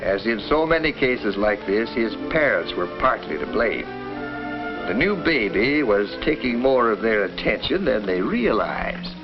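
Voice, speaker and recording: Folksy fatherly doctor in an old 50s school film, lively pitch, muffled soundtrack w music behind. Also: a little slow. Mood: explanatory, reassuring. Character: kindly small-town family doctor recounting cases, reassuring. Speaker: narrator and doctor. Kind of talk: 1950s educational film narration and acting.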